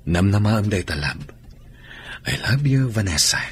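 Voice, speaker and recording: An actor playing a tender young man in love in an Ilocano radio drama, with a low, murmuring delivery. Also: expressive, measured pace, slight background noise.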